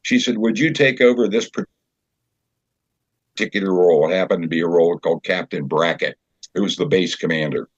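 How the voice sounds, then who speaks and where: An older man chatting as an interview guest, telling anecdotes in a halting, conversational storyteller's way. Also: sits low, no echo. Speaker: guest, community theater director and actor. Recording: slightly dull, dry recording.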